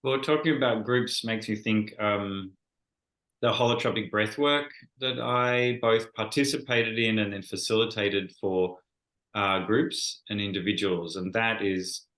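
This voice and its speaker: Low-voiced man in an echoey room. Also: steady tone, a bit bright. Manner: thoughtful, measured, with restarts. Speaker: guest, bodywork practitioner. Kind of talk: conversational interview.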